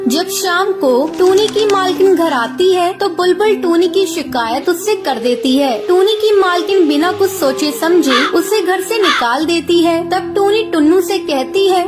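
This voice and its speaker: A woman's voice: extremely high pitch, measured pace, hardly any pauses. A woman reading scripted narration for a children's cartoon story. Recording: music bed behind voice.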